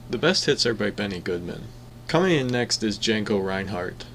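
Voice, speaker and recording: A man's voice: on the low side, lively intonation, moderate pace, a bit bright, a little hiss or hum. A man playing a laid-back young swing music buff in an audio drama, casual and teacherly. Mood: friendly, quizzing.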